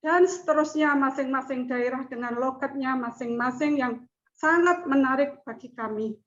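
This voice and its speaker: A middle-aged woman giving a formal class presentation in measured, deliberate speech. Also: high-pitched. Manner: slow, measured speech with chanted recitation. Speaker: student presenter. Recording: dull lo-fi audio.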